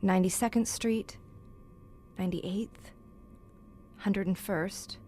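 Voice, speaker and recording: A woman's voice: mid-range voice, steady tone, slow, mellow, faint music behind, close to an everyday voice. Woman acting inquisitive, formal lady visitor. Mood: curious. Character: inquisitive, educated woman visitor, composed but easily appalled. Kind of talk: audio horror drama acting.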